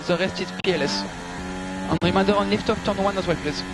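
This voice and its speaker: High-pitched male race engineer on noisy radio. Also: quick pace. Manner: clipped, procedural, repeats instructions. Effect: team radio. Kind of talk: team radio instructions and race updates. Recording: very noisy car team radio transmission. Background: loud car noise.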